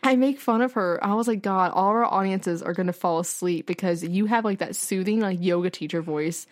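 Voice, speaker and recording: A young woman co-hosting a casual podcast, chatting quickly and brightly, full of "like" and laughter. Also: medium pitch, no room sound, clean studio sound. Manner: fast, bubbly, filler-heavy talk with lots of laughing. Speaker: podcast co-host. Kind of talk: casual chat.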